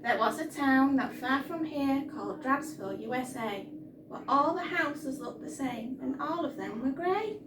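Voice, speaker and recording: A woman reading a story aloud to young children in an animated, expressive storytime style. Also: high-pitched, a little slow. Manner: animated, addressing young listeners. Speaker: children's storytime reader, teacher. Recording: roomy, distant mic, noisy background.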